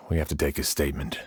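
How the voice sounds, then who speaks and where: A man's voice: a bass voice, breathy edge, no room sound, clean studio sound, exaggerated voice. A man voicing a polite, earnest officer questioning witnesses at a crime scene. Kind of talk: video game voice acting.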